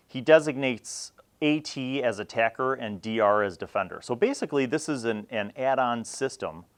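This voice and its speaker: Low-pitched American man, casual rambling reviewer. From Chicago, United States. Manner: unscripted, halting, thinking aloud. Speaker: host of a retro gaming magazine review series. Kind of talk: casual rambling review to camera, leafing through a magazine.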